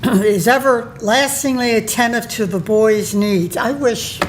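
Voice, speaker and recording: A woman giving an academic conference talk, reading from a paper in a measured way with pauses and fillers. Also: on the high side, slight background noise. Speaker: conference presenter. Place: conference room.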